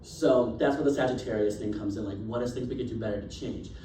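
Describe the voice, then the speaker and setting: A man's voice: on the low side, fast, dark tone. Young man presenting, filler-heavy, roomy classroom. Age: young adult. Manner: chatty, filler-heavy, laughs easily. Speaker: student presenter. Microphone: distant recording device. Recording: roomy, distant, noisy classroom.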